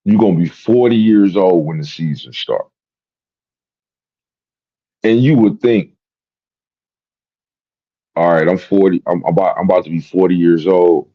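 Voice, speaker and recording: Deep-voiced man ranting about basketball. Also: measured pace, pauses often, husky, lo-fi sound. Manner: rambling, repetitive, profane. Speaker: sports podcast host. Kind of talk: casual sports commentary.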